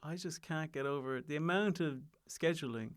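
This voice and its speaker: A man's voice: a little high, fairly quick. A young Irish man, a comedian guest chatting casually on a podcast, often restarting his sentences. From Ireland. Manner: rambling, self-interrupting, frequent restarts and laughs.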